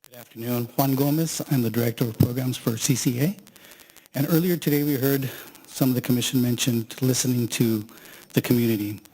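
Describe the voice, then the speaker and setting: A man's voice: medium pitch, fairly quick, darkish tone, slight background noise, rough recording. A man speaking as a program director, giving formal public comment at a meeting.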